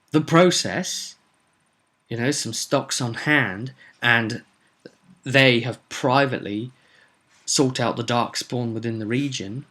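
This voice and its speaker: Bright, forceful man recounting a game. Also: lowish voice. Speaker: host recapping a tabletop roleplay campaign. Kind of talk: casual unscripted storytelling.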